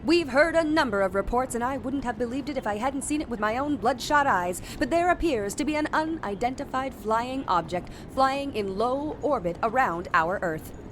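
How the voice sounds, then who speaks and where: A woman's voice: a little high, animated, moderate pace, hardly any pauses, slight background noise, close to an everyday voice. A woman playing an earnest, self-important TV reporter giving a mock live news report in an audio drama. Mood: breathless, self-important.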